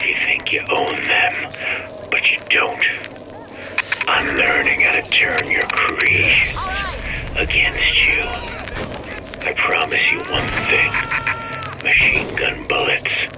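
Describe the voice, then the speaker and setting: An actor playing a defiant, threatening rebel gangster in a theatrical video game style. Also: male voice, slow pace, long pauses, over loud music, lightly acted, low-quality recording. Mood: defiant, menacing.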